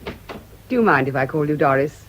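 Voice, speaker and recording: A woman's voice: low voice, lively intonation, normal speed, slight background noise. A woman playing a genteel, controlled lady in a radio drama, politely gushing and slyly pointed. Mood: sweetly barbed.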